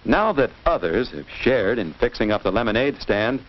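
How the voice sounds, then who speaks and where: A man's voice: mid-range voice, big pitch swings, normal speed. An American man reading scripted narration for a 1950s children's instructional film, in a didactic way, putting questions to young listeners. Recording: muffled, noisy old 1950s film soundtrack.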